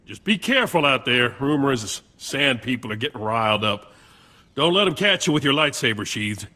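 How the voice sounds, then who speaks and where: An actor playing a sickly, chatty, wry patient who helps a Jedi in a video game. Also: male voice, mid-range voice, fairly quick. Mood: strained, dismissive. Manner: wry, animated, joking. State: frequent coughing fits. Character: sickly, wry, chatty male patient, Jedi's eager helper.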